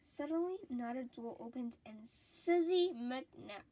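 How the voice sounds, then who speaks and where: Slow, high-voiced woman reading a children's story. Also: lively intonation, warm tone, no echo, poor audio. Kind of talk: children's story read-aloud.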